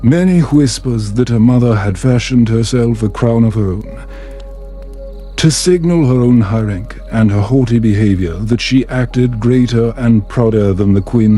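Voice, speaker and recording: A man narrating a story in a calm, soft, soothing and measured way, meant for bedtime. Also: mid-range voice.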